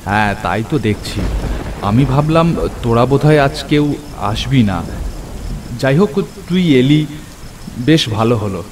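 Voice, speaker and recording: A man playing an earnest, excitable friend who tells a cartoon horror story in the first person, in an expressive, theatrical way. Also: medium pitch, a little slow, long pauses, noisy background, close to an everyday voice. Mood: friendly. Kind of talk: dramatic scripted first-person horror narration. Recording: clear rain and thunder over voice. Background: rain and thunder effects.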